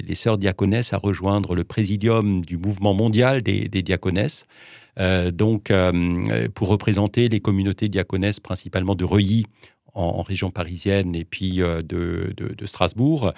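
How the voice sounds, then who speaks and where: A man from Alsace, raised speaking Alsatian, talks slowly and carefully, often restarting his sentences. His voice sits low with a gravelly edge, and the recording is dry and close. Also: fairly even intonation, darkish tone, no echo. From Alsace, France. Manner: measured, hesitant, many restarts. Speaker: interviewee, business executive. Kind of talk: interview conversation.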